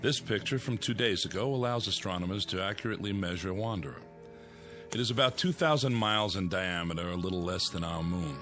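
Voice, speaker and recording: A stern general briefs a room in a radio drama, formal and in command. His voice is on the low side. Music runs clearly beneath him. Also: brisk, a bit bright. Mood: grave. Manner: formal, authoritative.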